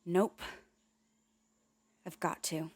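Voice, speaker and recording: A young woman playing a candid, wry narrator in a radio drama, conversational with deliberate pauses. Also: darkish tone. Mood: brisk, determined.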